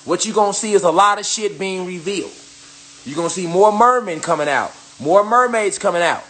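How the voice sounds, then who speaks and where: A man's voice: sits high, fairly quick, a bit bright. Profane, preachy man ranting to camera. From United States. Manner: profane, preachy, emphatic repetition. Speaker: spiritual commentator. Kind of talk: casual rant to camera.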